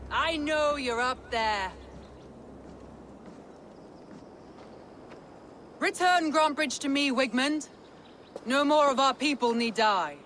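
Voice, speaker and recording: Proud, commanding Viking jarl. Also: female voice, sits high, a bit bright, a little hiss or hum, exaggerated voice. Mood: defiant, calling out. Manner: declamatory, resolute. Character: proud, commanding female Viking jarl, warm to her warriors. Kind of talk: dramatic video game acting.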